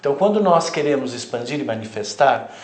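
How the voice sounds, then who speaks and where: A man's voice: mid-range voice, expressive, fairly quick. A Brazilian man with a mid-range voice explains spiritual concepts as a studio guest. He speaks reflectively, often tagging his sentences with "né". The room has a noticeable echo. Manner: reflective, explanatory, frequent "né" tags. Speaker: therapist, guest. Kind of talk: spiritual interview talk.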